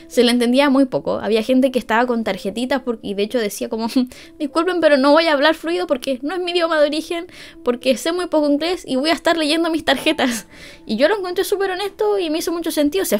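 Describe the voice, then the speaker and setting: A young woman with a Chilean accent hosting a podcast, telling a story casually, laughing often and acting out what people said. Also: fairly high pitch. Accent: Chilean Spanish. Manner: chatty, laughs often, acts out quoted lines. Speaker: podcast host.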